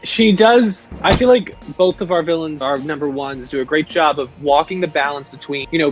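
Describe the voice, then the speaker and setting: Male guest, slightly high voice, reflective and long-winded in casual conversation. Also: a bit bright. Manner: thoughtful, talks at length with restarts. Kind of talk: casual chat.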